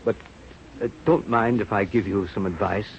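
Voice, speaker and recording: An actor playing an aging, earnest scientist mentor with a halting, solemn delivery in a radio drama. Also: male voice, fairly low pitch, steady tone, vocal fry. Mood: solemn, fatherly. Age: older. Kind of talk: 1930s radio drama acting. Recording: muffled, noisy old radio recording.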